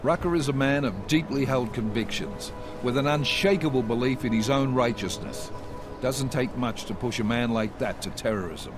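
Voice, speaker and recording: An actor playing a stern, controlled intelligence chief in a video game, clipped and authoritative. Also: male voice, medium pitch, normal speed, over music, lightly acted. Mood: firm. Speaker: agency director briefing agents. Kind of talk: video game cutscene acting.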